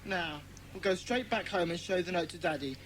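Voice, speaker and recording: A woman's voice: on the low side, normal speed, heavy background noise, natural voice. A woman voicing an earnest, well-spoken British schoolboy in a children's audiobook drama. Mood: businesslike. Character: Peter, earnest schoolboy leader of a children's detective club.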